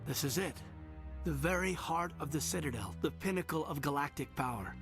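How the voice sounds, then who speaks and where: An earnest soldier from a sci-fi game, sounds full of awe. The voice sits a little high with a gravelly creak, and music plays clearly behind it. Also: male voice, animated, brisk. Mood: awed. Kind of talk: video game dialogue acting.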